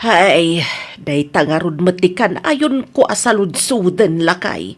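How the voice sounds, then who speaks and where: A woman's voice: on the low side, sing-song, slow, exaggerated voice. A woman with an Ilocano Filipino accent playing an anxious older mother in an audio drama. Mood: anxious, resigned. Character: anxious older mother, reporting trouble to husband.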